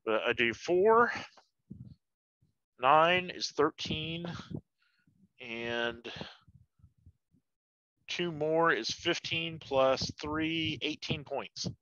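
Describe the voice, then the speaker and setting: A man's voice: on the high side, extremely slow, pauses often, bright, forceful tone, dry recording, dull audio. A man playing a tabletop role-playing game, talking casually about his moves and rolls.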